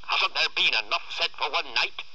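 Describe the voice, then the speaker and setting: Man putting on a sharp, scolding farm mother. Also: a little high, lively intonation, normal speed, breathy edge, dull audio, pitched above the performer's own voice, exaggerated voice. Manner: sharp, snapping.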